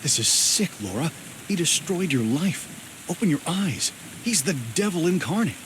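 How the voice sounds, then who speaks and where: A man's voice: fairly high pitch, big pitch swings, moderate pace, background noise. An actor playing a weary, earnest, haunted man in a dramatic video game. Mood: urgent. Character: weary, earnest haunted man resisting his evil father.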